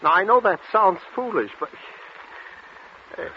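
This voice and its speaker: An actor playing a tormented male patient cursed to blurt out truths, anguished and halting in 1940s radio style. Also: high-pitched, animated. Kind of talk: 1940s radio drama acting. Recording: muffled, noisy 1940s radio recording.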